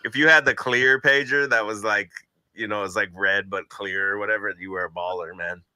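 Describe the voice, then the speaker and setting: A man's voice: on the low side, fairly even intonation, normal speed, bright, forceful tone, clean tone, dry recording, rough recording. A man from Minnesota hosting a stream, chatting in a laid-back, slangy, joking way. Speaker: stream host. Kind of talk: casual chat.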